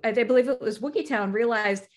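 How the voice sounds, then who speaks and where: A woman with a fairly high voice speaks as a podcast guest. She explains things in a methodical, detailed way, going step by step in an interview style. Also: fast talker.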